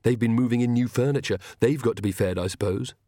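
A man's voice: mid-range voice, fast, mellow, no room sound, clean studio sound, natural voice. A man playing a worried young queen and mother in an audiobook story, fretful and a little haughty. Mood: hushed, apologetic.

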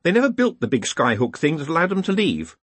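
A man's voice: on the high side, huge pitch swings, fast, breathy edge, no room sound, pitched above the performer's own voice, full character voice. A man voicing an earnest young academic wizard in an audiobook, hesitant and deferential as he carefully explains. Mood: uneasy.

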